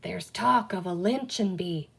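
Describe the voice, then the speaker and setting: A woman's voice: on the low side, measured pace, creaky, breathy edge, full character voice. A woman voicing a playful little man who acts the outlaw, with folksy, clipped slang, in a storybook reading. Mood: dark, secretive.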